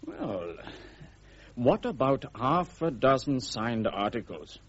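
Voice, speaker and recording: Pushy newspaperman, swooping husky voice. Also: mid-range voice, very animated, slow, full character voice. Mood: wheedling. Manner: slick, insinuating, needling. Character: pushy, cynical tabloid newspaperman. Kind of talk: radio drama acting. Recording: dull, muffled radio drama recording.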